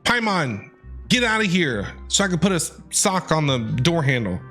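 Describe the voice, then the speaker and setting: Animated male game streamer. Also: fairly high pitch, moderate pace. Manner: theatrical, joking. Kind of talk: playful livestream commentary, reading dialogue aloud. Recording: game music behind the voice. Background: game music.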